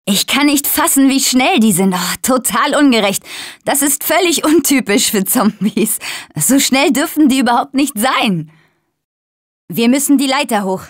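A woman's voice: sits high, animated, unhurried, no room sound, studio quality. An actress playing a tough, sarcastic young woman surviving a zombie apocalypse in a dubbed video game. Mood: wry, uneasy. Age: young adult.

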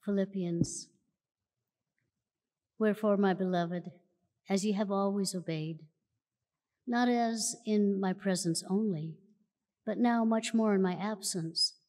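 A woman reading scripture aloud at a church lectern in a measured, solemn way, recorded in a large church auditorium. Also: mid-range voice, steady tone, a little mellow. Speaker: church reader. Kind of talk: slow formal scripture reading. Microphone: lectern mic from a far camera. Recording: long church hall reverb.

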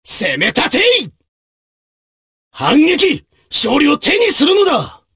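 An actor voicing a brave, martial samurai officer in a video game, with gruff, raspy, declamatory delivery in archaic period speech. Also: male voice, extremely high pitch, huge pitch swings, slow pace, exaggerated voice. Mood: rousing battle cries. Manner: forceful, declamatory.

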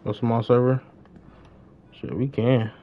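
A man's voice: mid-range voice, steady tone, takes it slowly, narrow, tinny audio. A young man chatting casually on a gaming livestream in slangy talk, often repeating his phrases. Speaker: live streamer.

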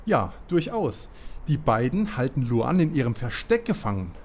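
Man playing an animated young male detective. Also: medium pitch, normal speed. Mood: matter-of-fact. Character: eager, polite young male amateur detective. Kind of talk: parody detective audio drama acting.

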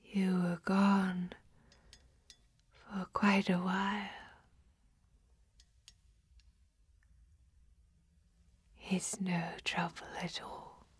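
Slow, whispering elf woman, acted by a woman. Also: mid-range voice, flat delivery, dry recording. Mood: gentle, patient. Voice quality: breathy whisper. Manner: slow, hushed, deliberate with long pauses. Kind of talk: whispered ASMR fantasy roleplay.

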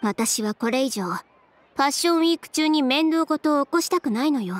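A proud, sharp-tongued young woman from a video game. Her high voice swings expressively, yet she stays cool, haughty and unflappable. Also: expressive, dry recording, faint music behind. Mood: composed, justifying. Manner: cool, haughty, deliberate sarcasm. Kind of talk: Japanese game voice acting.

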